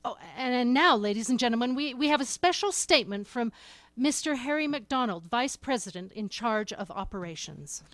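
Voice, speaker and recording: A woman playing a news announcer reading bulletins in an old-time radio drama, brisk and plain. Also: a little high, lively intonation, fairly bright tone, no room sound. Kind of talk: 1930s radio drama acting.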